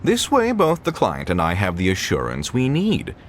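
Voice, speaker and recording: A fawning, greedy merchant. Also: male voice, on the low side, normal speed, a little hiss or hum. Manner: fawning, stammering, excitable. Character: fussy male merchant, fawning yet greedy. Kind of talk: game cutscene voice acting.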